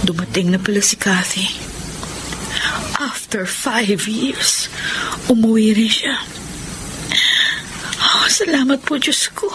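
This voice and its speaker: A woman with a Filipino accent playing a soft, earnest family woman in a radio drama. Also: on the high side, slow, long pauses, over music, low-quality recording. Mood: remorseful. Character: loving mother, or repentant grown daughter.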